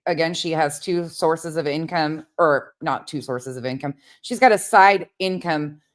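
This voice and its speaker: A woman with a low voice gives informal online commentary. She is blunt and exasperated and swears casually. The sound is dry, with little room to it. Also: dry recording. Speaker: online commentator reacting to another streamer.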